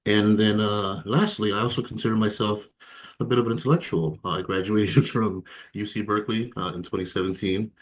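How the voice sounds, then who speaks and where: Deep-voiced man from Ghana, reflective. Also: fairly even intonation, brisk. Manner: reflective, hesitant, many fillers and soft laughs. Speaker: interview guest. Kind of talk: candid personal testimony.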